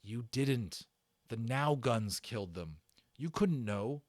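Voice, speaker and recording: Storybook boy, voiced by a man: sincere, gentle, a little regretful, conversational, highly expressive pitch. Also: slow, no room sound, clean studio sound. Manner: plain, sincere, conversational phrasing. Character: earnest, regretful, gentle-natured young boy. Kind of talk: dramatic storybook character reading.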